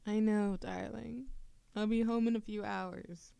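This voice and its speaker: A woman playing an affectionate, playful and easily flustered young witch in love, speaking softly and haltingly in an intimate romantic audio roleplay. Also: medium pitch, steady tone, gravelly, smooth, no echo. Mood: teasing, affectionate. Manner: soft, halting, teasing, giggly.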